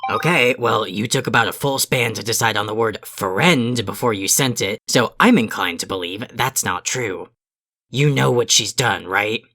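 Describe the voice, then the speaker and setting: A man's voice: lowish voice, moderate pace, pushed and bright. A man playing an irritable, bossy young alien in an audio drama, blunt, emphatic and sardonic. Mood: scolding, sardonic.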